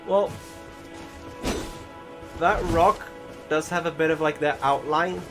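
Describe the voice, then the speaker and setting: A man's voice: on the high side, steady tone, takes it slowly. A man giving casual, rambling and hesitant commentary over a video game, swearing now and then. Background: game music behind the voice.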